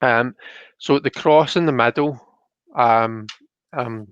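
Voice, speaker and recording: A man's voice: mid-range voice, slow, a bit bright, clear, resonant tone. A man hosting a casual live-stream, chatting in a tentative, apologetic way. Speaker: host of a live tarot reading show. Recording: muffled, roomy home live-stream sound.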